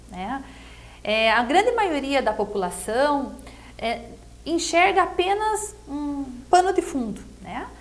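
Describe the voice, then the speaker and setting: A middle-aged Brazilian woman with a high-pitched voice speaks in a conversational interview. She explains her views earnestly, as a candidate would, and keeps checking in with short tag questions. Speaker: political pre-candidate being interviewed.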